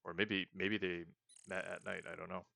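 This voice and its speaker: A man's voice: sits low, flat delivery, normal speed, creaky. A man casually commenting while he plays a video game, thinking aloud and hedging often. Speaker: let's play host.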